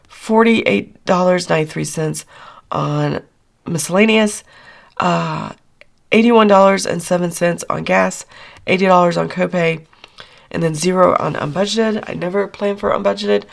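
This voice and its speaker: A woman with a low, gravelly voice that is still hoarse from losing it. She chats casually and rambles through her budget numbers, filling pauses with ums and uhs. She sounds middle-aged and relaxed. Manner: chatty, rambling with many fillers. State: hoarse, recovering from lost voice. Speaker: budgeting channel host.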